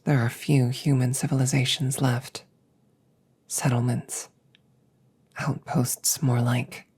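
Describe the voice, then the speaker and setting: Woman voicing a very low, breathy, slow female android. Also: little pitch movement, heavily acted. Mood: grave, measured. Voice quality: soft, breathy, often near a whisper. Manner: slow, measured, soothing, formal phrasing. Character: calm, protective female combat android, wounded but reassuring. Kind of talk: intimate roleplay audio drama, sleep aid.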